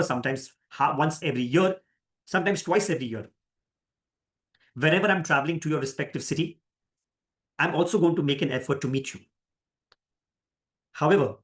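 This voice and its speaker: A man with an Indian accent giving an orientation talk as a company director, speaking deliberately and emphatically, repeating phrases for emphasis. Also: fairly high pitch. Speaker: managing director leading an orientation. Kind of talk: orientation talk to new franchise partners.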